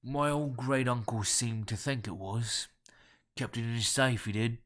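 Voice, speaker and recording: A man's voice: medium pitch, measured pace, fairly bright tone, natural voice. Man acting a guttural, nasal Cockney rogue. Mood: sly, unhurried. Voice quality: guttural, nasal, hoarse, scratchy smoker's voice. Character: gruff hard-drinking London man, sly and insistent.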